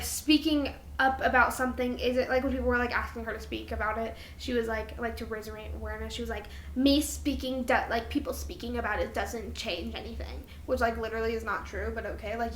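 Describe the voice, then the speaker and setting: A woman's voice: on the high side, a bit bright. A young woman co-hosting a podcast, chatting casually and circling through her thoughts in informal, hesitant phrasing. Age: young adult. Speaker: podcast co-host. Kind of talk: casual unscripted chat between friends. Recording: roomy echo with background noise.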